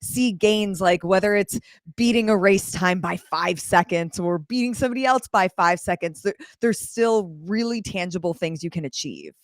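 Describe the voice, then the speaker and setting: A woman's voice: fairly low pitch, moderate pace, dry recording. A woman hosting a casual interview, speaking in an encouraging, reflective way. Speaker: podcast host, interviewer.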